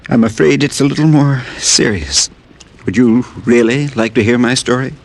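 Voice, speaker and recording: An actor playing a sick, tired farmer from the West Indies in a 1950s radio drama, talking quietly and haltingly. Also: male voice, medium pitch, natural voice. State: ill, weak. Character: sick weary Haitian farmer, middle-aged man.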